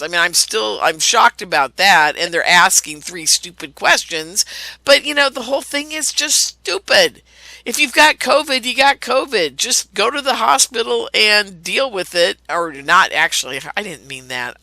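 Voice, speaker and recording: Woman talk host, hoarse and gravelly, sharp and tense, indignant ranting delivery. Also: mid-range voice, no room sound, poor audio. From California. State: hoarse, losing her voice. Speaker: radio show host. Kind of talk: ranting monologue to listeners.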